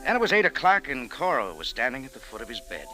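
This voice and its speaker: A man's voice: medium pitch, wildly swooping pitch, fast, sharp tone, heavily acted, poor audio. A man with an American accent playing a tough, sardonic café owner and sleuth in an old-time radio drama, clipped and hard-boiled. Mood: wry, brisk. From United States. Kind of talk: old-time radio detective narration and acting. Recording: old radio drama, faint music behind.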